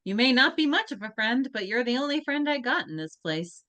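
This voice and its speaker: A woman's voice: a little high, very animated, a little fast, bright, forceful tone, no echo. A woman voicing a determined but stammering teenage girl, read over a video call. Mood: earnest. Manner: hesitant, stammering.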